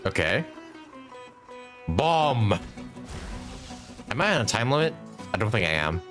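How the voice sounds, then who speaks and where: A man's voice: lowish voice, sing-song, measured pace, a bit bright, faint music behind. A man doing casual live commentary as a game streamer, thinking aloud as he plays and swearing casually.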